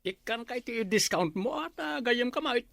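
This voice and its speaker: Casting: coaxing, teasing gym owner, very high bright voice, Ilocano accent, radio comedy. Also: male voice, expressive, normal speed, vocal fry, dry recording, exaggerated voice.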